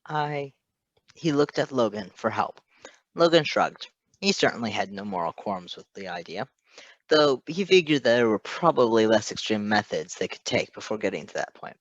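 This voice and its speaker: A man's voice: on the low side, big pitch swings, moderate pace, dry recording, lo-fi sound. Man narrating a story, close and dry. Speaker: fan fiction reader.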